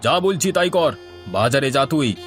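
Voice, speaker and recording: A man's voice: medium pitch, expressive, measured pace, natural voice. Man acting a lazy, bossy elder brother, music underneath. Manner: curt, commanding. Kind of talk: cartoon fairy tale dialogue.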